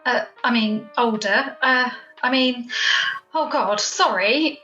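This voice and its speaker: A woman's voice: a little high, slow, sharp tone, highs cut off, soft background music. A woman playing a blunt, flippant young daughter in an amateur radio play. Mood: chatty, teasing. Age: young adult. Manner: flippant, teasing. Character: cheeky, blunt young daughter and shop worker. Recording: roomy home recording via video call.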